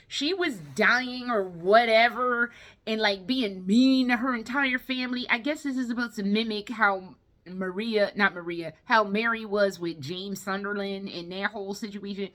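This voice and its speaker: Bright-voiced woman rambling bluntly on a vlog. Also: on the high side. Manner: blunt, profane, conversational. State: tired. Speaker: video game and movie vlogger. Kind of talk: casual rambling solo review vlog.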